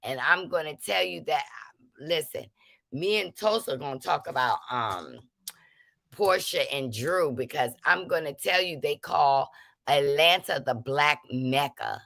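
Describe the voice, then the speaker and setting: A woman's voice: deep voice, animated, measured pace, creaky, a bit bright, no echo. A woman hosting a casual commentary show, giving emphatic, preachy warnings to her listeners. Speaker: show host.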